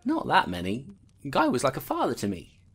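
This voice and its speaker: A woman playing a loyal, dogged female constable who narrates her case in an earnest, brisk way. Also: rumbling low, wildly swooping pitch, slightly rough, exaggerated voice.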